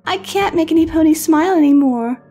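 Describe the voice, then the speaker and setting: Forlorn little pony baker acted by a woman, very high girlish pitch, subdued and pliant, horror audio story with faint music. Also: brisk, warm tone. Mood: morose. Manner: morose, subdued, eager to please. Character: sad young female pony baker, lost her cheer. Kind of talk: horror fan fiction reading.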